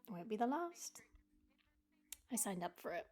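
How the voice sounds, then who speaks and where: An actress playing an affectionate, feisty young wife in an audio roleplay, teasing and soft-hearted. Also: female voice, medium pitch, very animated, moderate pace, lightly acted. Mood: resigned.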